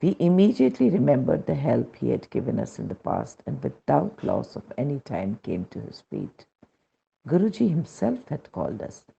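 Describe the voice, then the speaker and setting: A man with an Indian accent reads a scripted devotional testimony in a measured, solemn manner, on a dull recording. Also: medium pitch, huge pitch swings, vocal fry, dark tone, husky.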